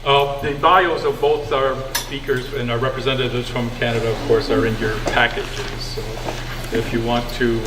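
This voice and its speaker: A man hosting a community meeting, introducing speakers in a casual, joking way. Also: medium pitch. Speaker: meeting host. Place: community recreation hall. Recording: distant, echoey hall recording with background hum.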